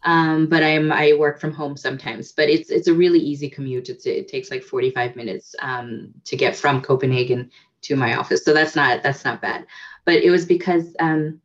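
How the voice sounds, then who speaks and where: A woman with a deep, low voice tells her story as an interview guest, reflective and full of fillers and restarts. The audio is dull and lo-fi, like a video call. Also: fairly even intonation, moderate pace. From United States. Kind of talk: casual interview.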